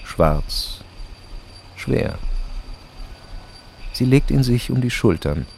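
A man's voice: medium pitch, animated, drawn-out words, creaky, a little mellow, a little hiss or hum. A man narrating a radio play in terse, matter-of-fact fragments.

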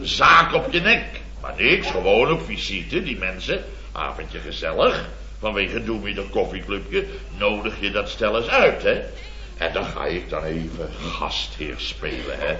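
An actor playing a blustery, boastful middle-aged building contractor in a radio comedy, rambling and exclamatory. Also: male voice, low-pitched, very animated, a little slow, sharp tone, heavily acted. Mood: exasperated grumbling. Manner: rambling, exclamatory, constant tag words. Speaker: comic lead actor. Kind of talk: 1970s radio comedy acting. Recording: dull old radio recording, roomy, audience laughter.

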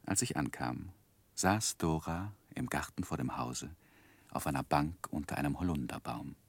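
An actor playing a gentleman who tenderly recalls his infatuated youth, narrating a 1960s German radio drama in a wistful, literary manner. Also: rumbling low, expressive, moderate pace, dry recording, heavily acted. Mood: fond, amused. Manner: wistful, ornate literary phrasing.

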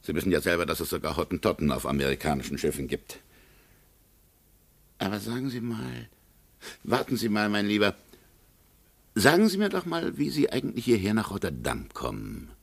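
An actor in a German radio play playing a haughty, evasive American consul with a condescending, officious manner. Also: male voice, lowish voice, expressive, fairly quick, gravelly, pauses often, slightly rough, heavily acted. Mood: officious, probing.

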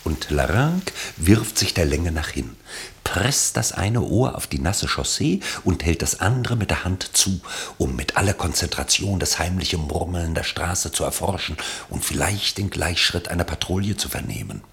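A man's voice: deep voice, very animated, moderate pace, gravelly, a bit bright. A man narrating a literary radio play in an ironic, elevated storytelling manner.

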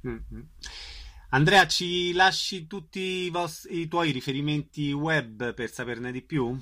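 A man's voice: a little high, slow pace, sharp tone. A man hosting a radio interview, putting questions to his guest and reading out announcements.

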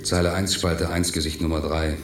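An actor as a deep, tormented test subject, echoey. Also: male voice, little pitch movement, moderate pace, close to an everyday voice. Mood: detached, mechanical. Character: tormented, terse male test subject, strained and bitter. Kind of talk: German radio play acting. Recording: echoing room with music behind.

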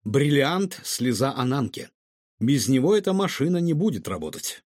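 A man doing a gentle old professor. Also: mid-range voice, sing-song, moderate pace, dry recording, studio quality. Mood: calm. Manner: soft, mild. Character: kind gentle old male professor, time traveler.

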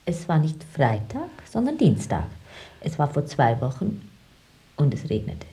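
An actress playing a cool, coaxing lover in a German radio drama, speaking in a hushed, deliberate way. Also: female voice, low-pitched, huge pitch swings, heavily acted. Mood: matter-of-fact. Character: coaxing, cool lover seeing off her man.

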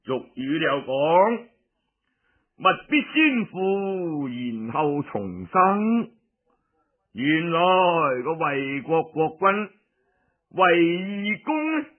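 A male storyteller with a Guangdong Cantonese accent and a high-pitched voice. He takes his time, stretching phrases for emphasis in a theatrical, colloquial way, in the style of traditional radio tale-telling. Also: narrow, tinny audio.

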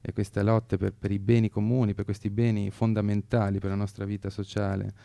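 A man with a low, creaky voice that stays quite flat. He sounds mellow and close-miked, explaining political views earnestly in halting, measured phrases. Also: dry recording.

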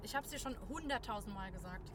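A woman's voice: medium pitch, expressive, fast talker, noisy background, lightly acted. An actress playing a hurt, blunt young woman in a naturalistic radio drama, impatient and cutting. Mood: impatient, challenging.

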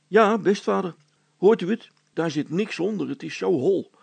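A man voicing an eager, level-headed boy, the son of a handyman, in a storybook, in a matter-of-fact, high-pitched voice. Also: expressive, normal speed, gravelly, a little mellow, slightly rough, full character voice.

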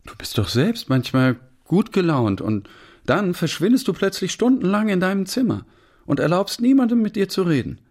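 A man's voice: sits high, big pitch swings, normal speed, darkish tone, full character voice. An actor playing an earnest, weary male newcomer in a naturalistic radio drama. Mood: reproachful. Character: Taha, weary, questioning male newcomer to Germany.

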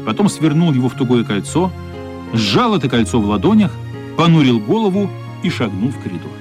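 A man's voice: mid-range voice, big pitch swings, a little fast, music in the background. A man narrating a radio play as dramatic scripted storytelling, expressively voicing the characters' thoughts.

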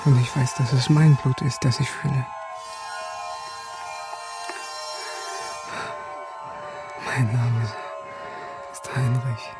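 A man's voice: mid-range voice, steady tone, a little slow, lightly acted. A man acting in a radio drama as an embittered, defiant German army sergeant who narrates his own fate with clipped intensity. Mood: anguished, dying. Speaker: lead actor in radio drama. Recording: music behind the voice.

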